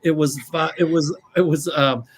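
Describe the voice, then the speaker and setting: Slightly raspy man chatting. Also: on the high side, fairly even intonation, normal speed, a little hiss or hum. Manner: chatty, joking, storytelling. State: slightly raspy after surgery. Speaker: psychic, show guest-regular. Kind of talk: casual chat on a live stream.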